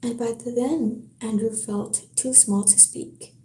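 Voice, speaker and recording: A woman with a French accent, chatting easily on a live stream and reading a novel aloud in a soft-spoken way. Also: fairly high pitch, fairly even intonation, slow pace. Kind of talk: casual live-stream chat and book reading. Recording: roomy echo.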